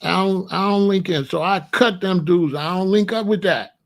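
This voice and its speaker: A man with a gravelly, somewhat high voice talks bluntly through a casual monologue. His is slangy and repetitive, and he keeps asking whether you follow him. He is confrontational and plain-spoken. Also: moderate pace. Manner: repetitive, keeps asking you know what I'm saying. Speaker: commentary channel host.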